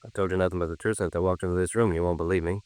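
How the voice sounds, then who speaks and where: A low-pitched man acting as a slippery ex-convict in an old radio crime play. He talks quickly in street slang, defensive and evasive, with a close, dry sound. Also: fairly even intonation, a little mellow, dry recording, lightly acted. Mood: aggrieved, insistent. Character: evasive, grumbling ex-convict crime suspect in his thirties.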